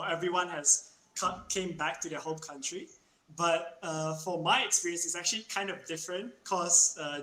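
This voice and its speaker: A man's voice: a little high, fairly bright tone. Young Malaysian man, hesitant, echoey room. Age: young adult. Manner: hesitant, filler-heavy. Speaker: guest. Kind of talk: casual video call chat. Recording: echoey room, faint noise.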